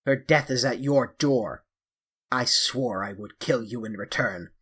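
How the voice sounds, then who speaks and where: A grim sailor bent on revenge in a storybook reading, as a male narrator puts him on. He speaks loudly in short, threatening commands. His voice has a rough, husky edge. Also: mid-range voice, measured pace. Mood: menacing. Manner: loud, threatening, clipped commands. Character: vengeful sailor man, grim and menacing. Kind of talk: audiobook character voice.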